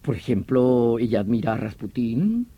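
An actor in a radio drama playing a vehement veteran detective, explaining things in an emphatic, theatrical manner. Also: male voice, mid-range voice, very animated, normal speed, gravelly, husky, highs cut off, exaggerated voice. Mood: explanatory. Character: vehement veteran police detective obsessed with hunting a vampire villain.

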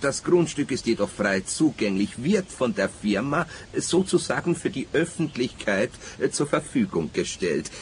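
A man's voice: mid-range voice, very animated, moderate pace, slight background noise, close to an everyday voice. An actor playing an earnest, officious forester and devoted company man in a radio play, speaking in a pedantic, emphatic way. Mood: officious, eager. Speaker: supporting actor.

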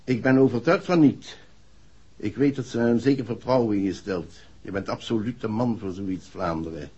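An actor playing a proud, uneasy husband, husky, formal and emphatic, in a 1960s radio play. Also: male voice, on the low side, moderate pace, warm tone. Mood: insistent. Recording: dull old radio recording.

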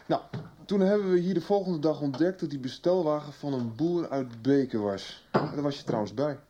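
A man's voice: on the high side, normal speed, mellow, muffled recording, a little hiss or hum, lightly acted. An actor playing a young policeman son, talking colloquially in a Dutch radio soap. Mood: eager storytelling. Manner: colloquial, chatty. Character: young policeman son recounting his case to his mother.

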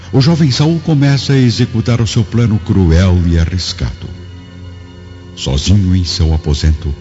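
A man's voice: deep voice, dark tone. A man narrating a scripted drama in a solemn, theatrical manner, recorded muffled with long reverb and music behind.

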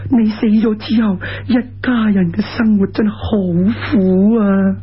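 A man's voice: high-pitched, fairly even intonation, a little slow, lo-fi sound. A man voicing a grieving, devoted wife with a Cantonese accent, plaintive and pleading, in a told folk tale. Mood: tearful pleading.

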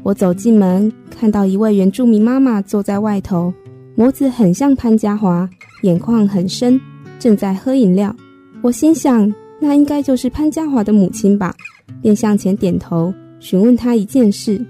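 A woman narrating a scripted radio drama in a measured storytelling manner. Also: medium pitch, mellow, faint music behind, lightly acted.

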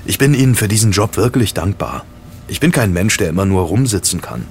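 An actor playing an easygoing young night porter in a German radio play, with a casual, colloquial way of talking. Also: male voice, fairly low pitch, lively intonation, fairly quick, a little mellow, slight background noise. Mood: friendly, open.

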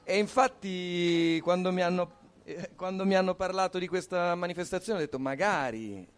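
A man's voice: high-pitched, moderate pace. A man speaking and reading live as a performer at a poetry event. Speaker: poetry reader.

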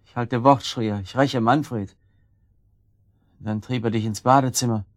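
An actor playing an evasive, irritable and weary husband in a radio drama. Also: male voice, medium pitch, steady tone, a little slow, dry recording, studio quality, lightly acted. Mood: uneasy. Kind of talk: German radio drama acting.

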